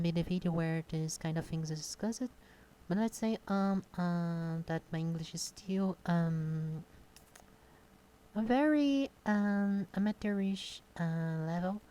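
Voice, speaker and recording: A shy young woman with a Brazilian Portuguese accent talks off the cuff at her home computer. Her voice sits slightly low and soft-toned, and her speech is halting, full of pauses and filler sounds. The recording is close-miked at a desk. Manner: hesitant, many pauses and fillers. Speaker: content creator. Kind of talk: unscripted casual monologue. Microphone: close desk microphone.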